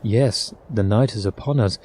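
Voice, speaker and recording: A man playing an anxious, devoted young husband in an audio drama, husky, worried and earnest. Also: lowish voice, moderate pace, a little mellow, slight background noise, lightly acted. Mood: grim.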